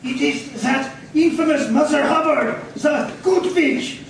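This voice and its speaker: A man's voice: extremely high pitch, unhurried. A man playing an alarmed, servile villain's henchman on lookout in a 1940s radio serial. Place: live performance in a coffee house. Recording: distant, very echoey live room with noise.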